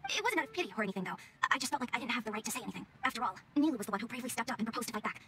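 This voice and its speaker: A woman's voice: on the high side, expressive, rapid-fire, sharp tone, a little hiss or hum. A woman playing a humble, regretful theater colleague in a video game, sincere and self-critical.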